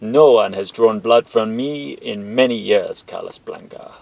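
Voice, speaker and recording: A man voicing a slow, menacing warlord general. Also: fairly low pitch, gravelly, no room sound, rough recording. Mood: cold. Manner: slow, drawn-out threats. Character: menacing male warlord general, cold and commanding.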